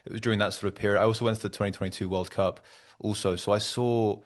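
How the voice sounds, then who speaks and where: A man's voice: lowish voice, fairly even intonation, fast talker. A man interviewing a guest as a podcast panelist, in a conversational style.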